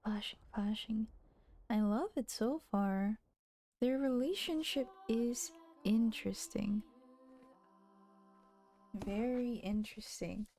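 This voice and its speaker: A woman's voice: on the high side, slow pace, long pauses, mellow, soft background music. A woman livestreaming a visual novel, reading the story aloud and reacting with playful asides. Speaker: streamer voicing a visual novel. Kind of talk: livestream voice-through with casual reactions and dramatic reading.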